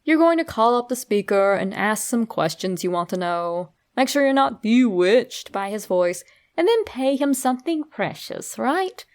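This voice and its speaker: Gravelly-edged woman narrating horror, unhurried. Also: on the high side, big pitch swings. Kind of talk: scripted creepypasta narration, addressed to the listener.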